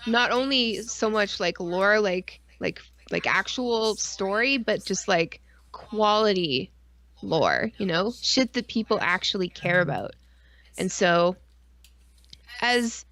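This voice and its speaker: Woman podcast co-host, mid-pitched, casual and rambling, informal with mild swearing. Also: fairly bright tone. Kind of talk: casual chat.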